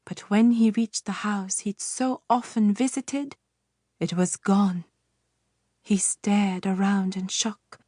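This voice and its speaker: A woman narrating a children's fairytale audiobook with measured, expressive storytelling. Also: medium pitch, no room sound, studio quality.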